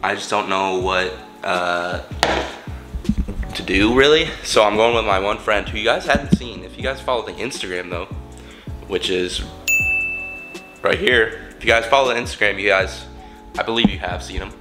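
A man's voice: on the low side, moderate pace, pauses often, music in the background. A young man with a nasal, congested voice, rambling casually to a handheld camera from inside his car, full of fillers and restarts. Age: young adult. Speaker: fitness vlogger. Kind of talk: casual vlog talk to camera. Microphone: camera held at arm's length.

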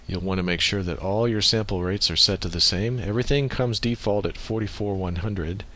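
Man, very low voice, bright forceful edge, step-by-step tutorial narration, USB microphone. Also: fairly quick. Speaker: software tutorial presenter.

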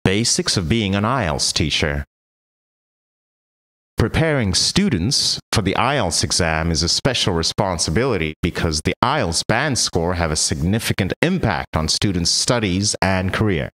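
A man with a low-pitched voice narrates a scripted training explainer. His delivery is measured and orderly, moving through the material point by point like an instructional voice-over. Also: very animated, a bit bright.

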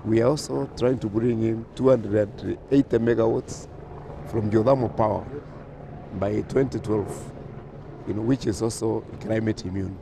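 A man's voice: on the low side, fairly even intonation, moderate pace, gravelly, noisy background. A man from Kenya, a government official, describing energy plans confidently with repeated "you know". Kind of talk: news interview.

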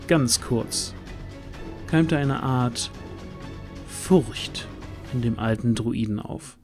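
A man with a mid-pitched voice narrates a fantasy audio drama. He is slow and suspenseful, leaving deliberate pauses, over faint background music. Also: a little mellow.

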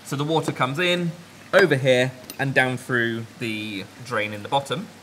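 A young man on staff at a fish shop, explaining his tanks in a relaxed way with lots of ums and yeahs, recorded in a large indoor shop. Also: medium pitch, moderate pace, noisy background. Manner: relaxed, explains with fillers like um and yeah. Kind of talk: casual walkthrough chat.

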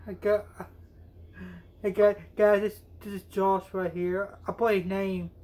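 Young man, amateur vlogger, casual call chat, halting, repetitive phrases, phone camera at home. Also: high voice, little pitch movement, darkish tone. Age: young adult. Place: small room at home. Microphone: phone camera at arm's length.

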